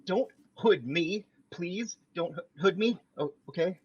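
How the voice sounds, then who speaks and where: A man reading the part of a pleading young roommate, husky, middle pitch, dull lo-fi sound. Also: expressive, slow, a little mellow, heavily acted. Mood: eager. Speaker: podcast host reading a part. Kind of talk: amateur table read.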